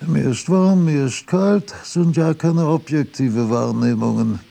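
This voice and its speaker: An actor playing an aged philosopher who muses aloud in a radio drama, halting and drifting from thought to thought. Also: male voice, a little high, creaky, warm tone. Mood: musing, rambling. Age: older. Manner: halting, digressive, musing aloud. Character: aged philosopher Kant, rambling through notes and household trifles.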